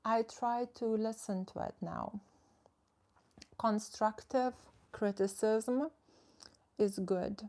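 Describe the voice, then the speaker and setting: A woman's voice: on the high side, steady tone, slow pace, long pauses, darkish tone. A young woman, a social media coach chatting casually on a live stream, reading and answering viewer comments in a frank, filler-heavy way.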